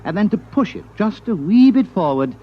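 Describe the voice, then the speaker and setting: An actor playing a wry, quick-witted captain in the British army, teasing and persuasive, in a 1950s radio drama. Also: male voice, sits high, big pitch swings. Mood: playful, scheming. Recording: dull vintage radio broadcast.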